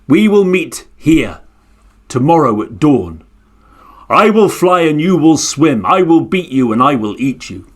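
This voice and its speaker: A man voicing a mighty, boastful giant bird that talks in a proud, slow way. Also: on the high side, very animated.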